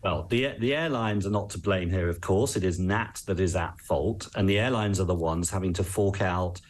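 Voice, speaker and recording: An English man speaking as an expert guest in a news interview, measured, articulate and earnest in explaining his points. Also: low-pitched.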